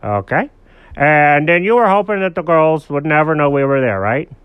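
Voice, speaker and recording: A man's voice: a little high, expressive, normal speed, smooth, no room sound, narrow, tinny audio, pitched above the performer's own voice, close to an everyday voice. A man voicing a brash, chummy, bossy pet dog in a children's story, talking emphatically. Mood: eager, scheming.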